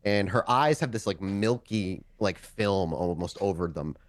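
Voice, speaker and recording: A man runs a tabletop roleplaying game in a very dry-sounding space. His pitch moves around a lot as he describes scenes conversationally, stopping for dramatic pauses and rewording as he goes. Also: expressive, dry recording. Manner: halting, self-correcting, dramatic pauses. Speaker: storyteller, game master of a tabletop roleplay show.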